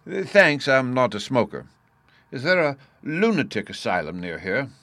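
A man's voice: medium pitch, sing-song, unhurried, a bit bright, no echo, voice pushed up. A man voicing a dignified, good-natured young army officer in a storybook, courteous, amused and jovial. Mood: jovial chat.

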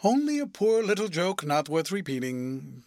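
A man's voice: a little high, big pitch swings, normal speed, a little mellow, no echo, clean studio sound. A man voicing a friendly, good-humoured clergyman, in an audiobook. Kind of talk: audiobook character voice.

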